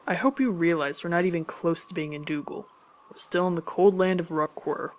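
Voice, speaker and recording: A man playing a stern, loyal, skeptical second-in-command in an animated fantasy drama, speaking in a dry, formal, matter-of-fact way. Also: on the high side, a little fast, close to an everyday voice. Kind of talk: scripted animated drama dialogue.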